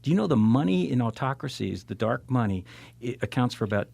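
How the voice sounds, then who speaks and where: A man with a mid-pitched voice co-hosts a comedy talk show. He talks conversationally, with playful deadpan banter. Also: fairly quick, gravelly, dry recording. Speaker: show co-host.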